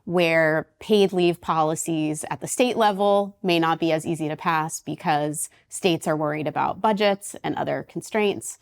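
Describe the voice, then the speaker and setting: Woman interviewer, deep voice, measured, thoughtful. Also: gravelly. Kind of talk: conversational interview.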